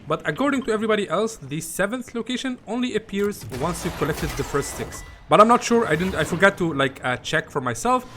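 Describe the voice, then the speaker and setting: A man's voice: sits high, animated, fairly quick, hardly any pauses, noisy background. A man giving a casual, rambling game walkthrough, often repeating himself in a conversational way. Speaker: gaming video host.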